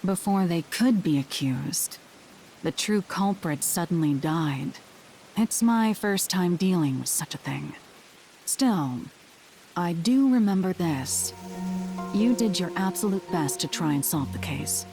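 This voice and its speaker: A cool, low-voiced young detective. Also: female voice, expressive, slow, warm tone, faint music behind, poor audio. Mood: gentle. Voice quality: dry, composed. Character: calm, aloof young female detective.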